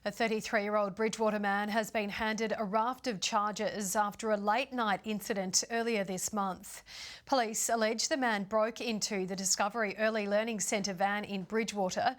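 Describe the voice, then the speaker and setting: A woman anchors the evening news with a crisp, measured read. Her pitch sits right in the middle for a woman. The studio sound is clean. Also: fairly even intonation. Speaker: news presenter. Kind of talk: scripted news reading.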